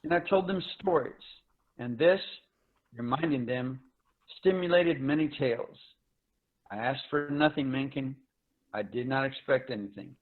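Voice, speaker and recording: Tinny call audio. Resigned, formal male envoy, played by an actor, weary sci-fi drama, middle pitch. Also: normal speed, pauses often, lightly acted. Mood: weary resignation. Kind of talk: dramatic reading of a science fiction story. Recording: thin phone-like video call audio.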